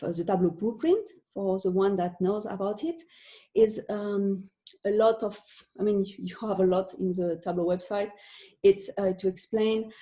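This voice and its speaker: A woman's voice: medium pitch, warm tone. A woman from France with a French accent, presenting a talk from home for an online webinar, with many fillers and restarts. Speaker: conference speaker.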